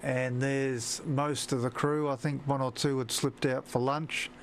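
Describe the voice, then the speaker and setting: A man's voice: medium pitch, level tone, a little slow, a little hiss or hum. A man who operates amateur radio, talking off the cuff on a live stream and hesitating often with fillers and restarts. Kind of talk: unscripted live-stream commentary.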